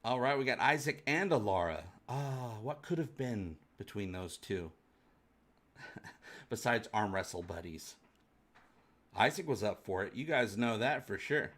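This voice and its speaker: A man's voice: medium pitch, lively intonation, measured pace, a bit bright. A man hosting a fan livestream, chatting casually and enthusiastically with his viewers.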